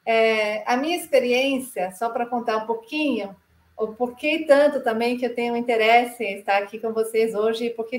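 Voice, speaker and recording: A woman's voice: on the high side, echoey room. A woman from Canada with a Canadian English accent, speaking her second language in a thoughtful presentation with small restarts. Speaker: director of a play advocacy association. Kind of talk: presentation talk to camera.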